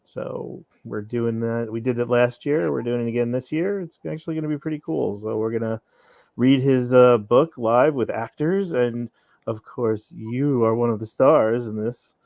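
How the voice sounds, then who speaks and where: Male chat-show guest, noticeable vocal fry, casual talk, dry close sound. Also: mid-range voice, moderate pace, a little mellow, no room sound. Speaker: guest, radio play director.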